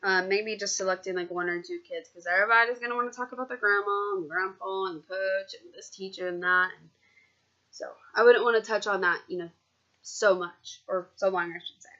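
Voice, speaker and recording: A woman with a bright, medium-pitched voice works as a student teacher reading aloud. She is expressive with the story and informal in her teaching commentary. The recording sounds slightly dull and lo-fi. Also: fairly quick.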